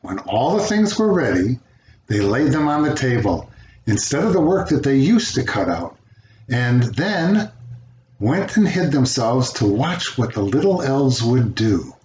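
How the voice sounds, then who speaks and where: An older man reading a children's fairy tale aloud as a storyteller, with an expressive delivery. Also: medium pitch, measured pace. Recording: roomy, dull home recording, faint noise.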